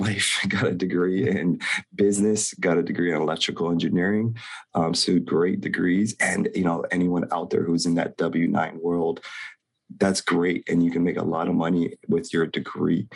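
A man's voice: low voice, level tone, moderate pace, gravelly, slightly rough. A middle-aged man from Hawaii, a real estate investor, talking in a laid-back, rambling way and laughing often over a video call. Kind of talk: casual interview talk.

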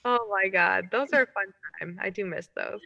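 A woman's voice: medium pitch, moderate pace, vocal fry, pushed and bright. A woman co-hosting a podcast, chatting casually on a slightly dull recording. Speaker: podcast co-host, improviser. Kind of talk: casual chat.

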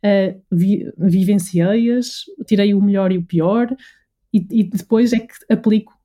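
A woman's voice: mid-range voice, unhurried, dark tone. A woman with a European Portuguese accent giving conversational explanations as an interview guest, articulate and often listing her points. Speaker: voice and communication coach, interview guest.

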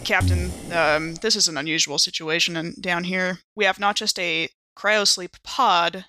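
A woman's voice: lowish voice, fairly even intonation, a little slow, pushed and bright, no room sound. A woman playing a tabletop role-playing game, chatting casually and slipping into in-character lines.

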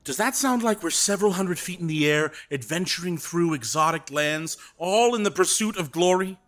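A bright, forceful, fairly high male voice playing an arrogant young genius director in a period radio-world drama. He is theatrical and sneering, with a petulant, grandiose way of putting things. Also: expressive, normal speed. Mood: scornful. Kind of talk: period audio drama acting.

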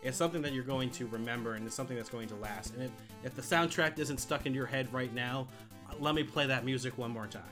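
A man's voice: medium pitch, quick pace. Guy on a movie podcast, casual and into it, swears a bit, guitar music under him. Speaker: podcast co-host presenting a movie countdown. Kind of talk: casual film discussion.

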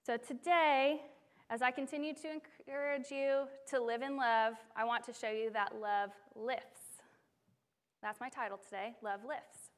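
A young woman preaching from a church stage, telling stories in an animated, self-deprecating way. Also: fairly high pitch, a little slow. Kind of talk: sermon. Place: church sanctuary.

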